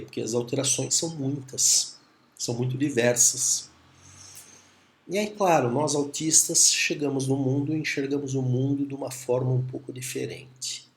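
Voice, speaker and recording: A Brazilian man with a Brazilian Portuguese accent talks through a reflective lesson to camera. His voice has a gravelly edge and sits at an ordinary pitch for a man. He speaks calmly, pausing to muse and checking in with short tag questions. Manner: calm, musing, with tag questions and pauses. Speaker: teacher. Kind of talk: reflective video lecture.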